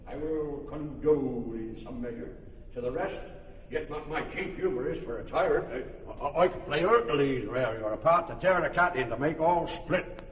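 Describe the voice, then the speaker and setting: A swaggering, self-important tradesman-actor in an old radio play, declaiming with huge swings of pitch. The voice sits a little high and rings in a reverberant hall. The recording is dull and lo-fi, with a faint hiss. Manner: grandiose, declaiming, eager to play every part. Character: boastful, bombastic weaver-turned-amateur actor.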